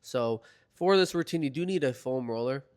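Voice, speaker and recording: A young man in a laid-back, chatty voiceover, his voice slightly hoarse from a recent illness. He talks casually and keeps dropping in fillers as he walks through a stretching routine. His pitch sits in the middle range. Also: normal speed. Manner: relaxed, chatty, filler-heavy. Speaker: fitness vlogger. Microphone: voiceover mic.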